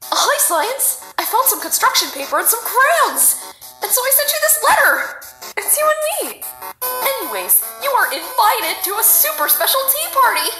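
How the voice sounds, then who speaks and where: A woman voicing a sweet, excitable childlike little skeleton in a cartoon comic dub, bubbly as she reads. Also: very high voice, moderate pace, full character voice. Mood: gleeful, sweet. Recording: echoey played-back video with music.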